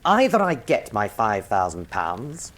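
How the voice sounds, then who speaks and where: Arrogant British army colonel, slow delivery, swooping intonation, brusque, contemptuous, threatening, radio drama villain. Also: male voice, lowish voice, huge pitch swings, a bit bright, full character voice. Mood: menacing. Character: arrogant, menacing blackmailing army colonel, male.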